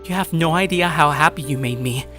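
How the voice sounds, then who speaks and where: A man playing a troubled, earnest high school boy who narrates his own story in a sincere, brooding way. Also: brisk, music in the background, lightly acted. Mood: pleading, earnest. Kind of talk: scripted dramatic narration and character dialogue.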